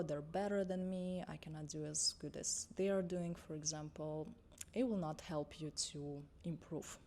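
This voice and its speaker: Young female coach, low voice, unscripted, reassuring, self-correcting. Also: a little mellow, a little hiss or hum. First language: Russian. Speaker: confidence and mindset coach. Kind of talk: unscripted vlog talk to camera.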